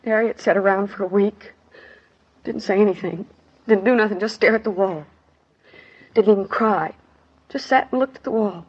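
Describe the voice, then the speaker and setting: A woman's voice: mid-range voice, normal speed, long pauses, husky, lightly acted. An actress in a 1950s radio drama playing a weary, grieving working-class woman who tells her story in plain, clipped sentences. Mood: sorrowful. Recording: dull 1950s radio recording.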